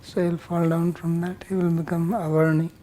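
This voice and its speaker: A man's voice: a little high, flat delivery, normal speed, mellow. A man teaching scripture as a religious teacher, bluntly correcting and explaining Sanskrit terms word by word. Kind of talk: verse-by-verse scripture teaching with questions and answers.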